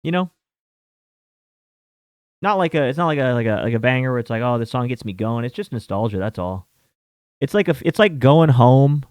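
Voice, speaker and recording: A man's voice: medium pitch, expressive, moderate pace, long pauses, a little mellow, smooth, no room sound, clean studio sound. A man around thirty hosting a sports betting show, talking in a rambling, casual way with many fillers and some mild swearing. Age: 30s. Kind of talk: casual chat.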